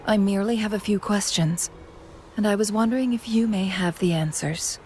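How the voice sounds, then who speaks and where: A woman's voice: medium pitch, normal speed, a little mellow, soft background music, natural voice. A woman playing a gracious, composed, subtly teasing strategist in a video game, speaking formally and politely. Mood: gracious, soft.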